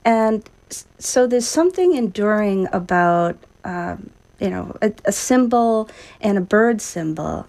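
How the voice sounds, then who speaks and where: A woman's voice: mid-range voice, animated, measured pace, creaky, darkish tone, poor audio. A woman, a children's book author, answering interview questions in a thoughtful, hesitant way.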